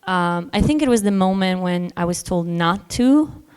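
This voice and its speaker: A woman from Bosnia speaks on a festival panel in a smooth, clear voice that sits a little low. She picks her words slowly, filling gaps with small sounds and brief laughs. The sound carries a long hall reverb. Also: expressive, a little mellow. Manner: thoughtful, frequent ums, laughs between points. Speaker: panel guest, novelist. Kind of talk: panel discussion answer.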